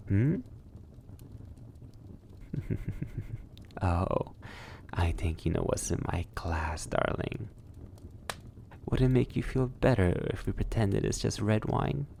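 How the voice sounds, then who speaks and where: A man playing a suave, sadistic vampire lord in an intimate ASMR roleplay, formally polite, breathy and teasing. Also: on the low side, expressive, slow, pauses often, warm tone, slight background noise, exaggerated voice. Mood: teasing, insistent.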